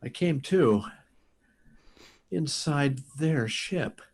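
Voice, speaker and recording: An actor playing a desperate, insistent rocket pilot in an old-time radio science fiction drama, speaking in halting, urgent bursts. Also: male voice, on the high side, expressive, gravelly, a little mellow, breathy edge, exaggerated voice. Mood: shaken, urgent.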